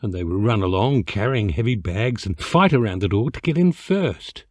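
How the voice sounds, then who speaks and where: Animated male storyteller, theatrical delivery. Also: lowish voice, moderate pace, no echo, clean studio sound. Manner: theatrical, expressive, mock-dignified. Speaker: amateur voice actor reading an audiobook excerpt. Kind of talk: comic literary narration, storytelling.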